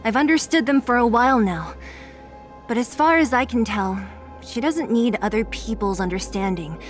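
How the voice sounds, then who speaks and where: A woman's voice: fairly high pitch, moderate pace, close to an everyday voice. Woman acting a blunt young female rebel fighter. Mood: frank, reflective. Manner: frank, tough-talking. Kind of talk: video game voice acting. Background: game music.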